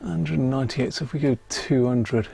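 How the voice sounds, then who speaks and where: A man's voice: mid-range voice, moderate pace, vocal fry, noisy background. A British man muttering and thinking aloud in casual live commentary while working at a bench, as a stream host.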